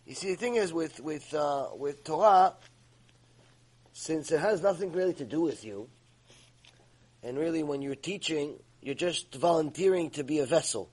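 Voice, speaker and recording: Brief: middle-aged male Torah teacher, raised pitch, animated and repetitive delivery. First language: Hebrew. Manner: animated, repeats words, fillers. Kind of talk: Torah lecture.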